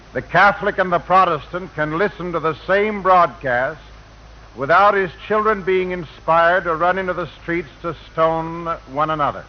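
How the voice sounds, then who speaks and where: A man with a slightly high voice reads a formal, measured address as a rabbi speaking on radio. The 1940s recording is muffled, with faint noise underneath.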